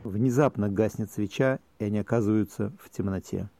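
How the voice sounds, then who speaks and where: A man's voice: lowish voice, a little fast, dark tone, natural voice. A man narrating an audio play from a script, reading out the scene descriptions.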